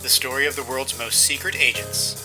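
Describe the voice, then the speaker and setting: An actor playing a formal mission briefing voice in a spy spoof, measured and dramatic. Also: male voice, medium pitch, fairly even intonation, close to an everyday voice, low-quality recording. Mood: official, portentous. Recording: loud music behind.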